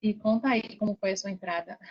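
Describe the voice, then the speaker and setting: A woman's voice: mid-range voice, moderate pace. A Brazilian woman in her forties telling her story conversationally as a podcast guest, chatty and often checking for agreement with short tag questions. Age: 40s. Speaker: podcast guest, affiliate marketer. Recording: dull lo-fi sound.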